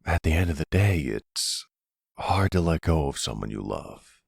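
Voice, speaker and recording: A man playing a middle-aged mafia boss and devoted stepfather in an intimate roleplay audio drama, with a husky, gravelly voice and an affectionate, wry way of talking. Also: very deep voice, big pitch swings, moderate pace, dry recording, studio quality, heavily acted. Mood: tender.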